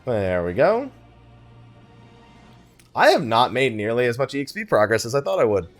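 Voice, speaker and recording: A man's voice: medium pitch, very animated, normal speed, soft background music. A man hosting a let's play with casual gaming commentary, thinking aloud and muttering asides to himself.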